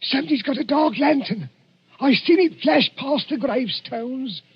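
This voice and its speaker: An actor playing a frightened, grumbling Cockney grave robber, nervous and whining, in 1940s radio drama style. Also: male voice, very high-pitched, normal speed, slightly rough, heavily acted. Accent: English Cockney. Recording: muffled noisy 1940s radio broadcast.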